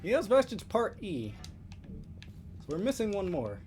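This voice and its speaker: A man's voice: high-pitched, wildly swooping pitch, a little slow, a little hiss or hum. A man livestreaming a video game, giving casual commentary and thinking aloud as he plays. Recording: loud game music behind the voice. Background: game music.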